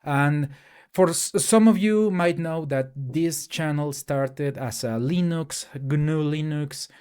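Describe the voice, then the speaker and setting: A man's voice: fairly high pitch, slow pace, darkish tone, clean tone. A man from Mexico, a native Spanish speaker with a Mexican accent, giving a casual, hesitant solo rant to camera with many fillers and restarts. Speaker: tech YouTuber.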